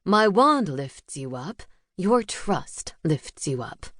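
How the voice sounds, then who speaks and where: A woman voicing a mild-mannered male magic professor who speaks in a gentle, earnest way. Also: on the low side, very animated, slow pace, no echo, studio quality.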